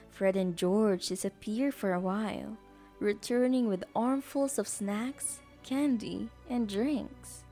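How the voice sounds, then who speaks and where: Female storyteller, highish soft-toned voice, unhurried narration over faint music.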